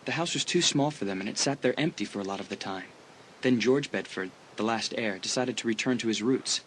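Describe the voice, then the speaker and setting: A man's voice: fairly low pitch, a little hiss or hum, close to an everyday voice, low-quality recording. A young man playing an easygoing, teasing teenage school athlete in an audiobook dramatisation, chatting casually.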